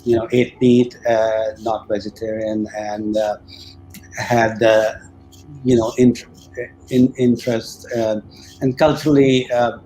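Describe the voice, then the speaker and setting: A man who grew up in India, speaking hesitantly with frequent pauses as a participant in a panel discussion. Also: lowish voice, little pitch movement, warm tone, faint music behind, poor audio. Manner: hesitant, with many "uh" fillers and restarts. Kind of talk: personal reflection in a panel discussion.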